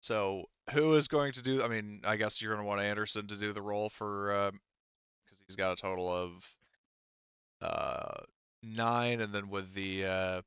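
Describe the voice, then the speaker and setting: A man running a tabletop roleplaying game as game master, explaining the scene in halting, unscripted narration. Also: on the low side, steady tone, fairly bright tone, clear, resonant tone, no echo, highs cut off.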